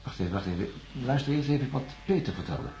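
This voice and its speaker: An actor playing a calm, patient male psychiatrist in a science fiction radio drama, speaking formally and deliberately. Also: medium pitch, gravelly, slight background noise. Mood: calm, probing. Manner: measured, formal, deliberate phrasing with pauses. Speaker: doctor. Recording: dull lo-fi radio recording, music.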